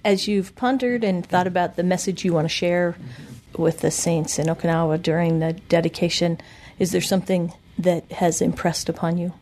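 A woman interviewer asks her questions in a calm, measured way that gently draws out her guest. Her voice sits low and sounds warm and mellow. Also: slight background noise.

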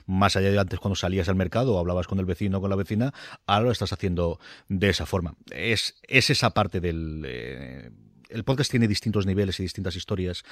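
A low, husky male voice, speaking rapidly. He discusses things informally but analytically, with emphatic enthusiasm, as a panelist. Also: dry recording, clean studio sound. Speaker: podcast panelist.

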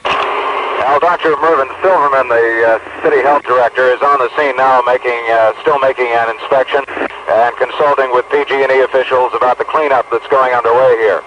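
A man's voice: mid-range voice, steady tone, normal speed. American male street reporter, noisy muffled line. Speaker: field news reporter. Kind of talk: live on-scene radio report. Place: outdoors on a city street. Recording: dull, noisy phone news line.

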